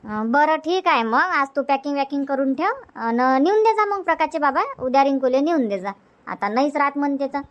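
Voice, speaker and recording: High-voiced nagging village mother played by a woman. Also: lively intonation, a little fast. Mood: resigned, fussing. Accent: Vidarbha Marathi. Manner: chatty, nagging, repeats herself. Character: fussy, insistent middle-aged village mother urging daughter to stay. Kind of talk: homemade comedy cartoon dubbing.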